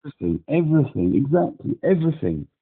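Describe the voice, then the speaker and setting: A man's voice: a little high, sing-song, fast talker, breathy edge. A man from Britain calling a helpline, talking in a rambling, agitated way and repeating himself over a phone line. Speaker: caller. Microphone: telephone.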